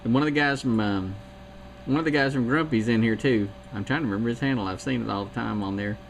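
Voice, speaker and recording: A man's voice: medium pitch, fast, gravelly. A man chatting on CB radio in a rambling way, with fillers and trailing thoughts. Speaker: CB radio operator. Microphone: CB radio mic. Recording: heavy radio static.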